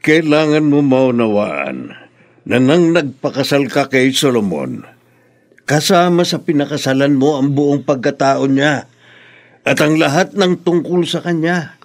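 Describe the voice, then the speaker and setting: A man's voice: a little high, animated, measured pace. An actor playing a patient middle-aged father giving earnest advice in a radio drama. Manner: patient, advising. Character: patient, counselling Filipino father of a grown daughter. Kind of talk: Tagalog radio drama acting.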